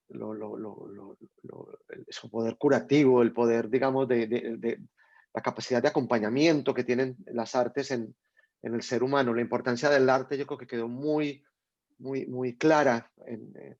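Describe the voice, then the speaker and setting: A man's voice: mid-range voice, a little mellow, dry recording. Colombian man musing hesitantly on a video call. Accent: Colombian (Medellín). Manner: thoughtful, many restarts and repeated words. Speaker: guest writer. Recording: dull lo-fi video call audio.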